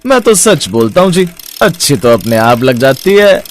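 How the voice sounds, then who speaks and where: A man playing a kind, wise middle-aged village father who drives an auto, speaking gently and reassuringly in a cartoon story. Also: mid-range voice, very animated, moderate pace, slight background noise, close to an everyday voice. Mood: good-natured.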